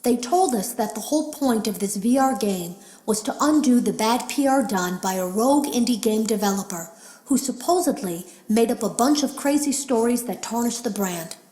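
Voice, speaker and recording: An actress voicing a female beta tester who leaves hushed, wary audio logs warning of a hidden threat in a game. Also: a little high, animated, normal speed, echoey room, close to an everyday voice. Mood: urgent, resolute. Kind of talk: scripted game voice acting.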